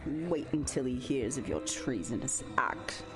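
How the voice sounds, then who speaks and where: A woman's voice: extremely low pitch, big pitch swings, slow pace, over music, full character voice. A woman playing a gloating, sadistic villainess in an audio drama, mocking and theatrical, with a drawl. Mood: menacing, gloating. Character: gloating, sadistic villainess devoted to her dark lord.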